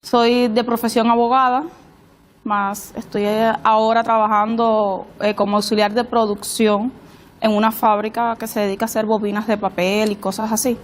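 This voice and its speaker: Woman, Cuban accent, slightly high voice, level intonation, panel guest, self-introduction. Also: flat delivery, normal speed. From Cuba. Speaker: guest participant, lawyer.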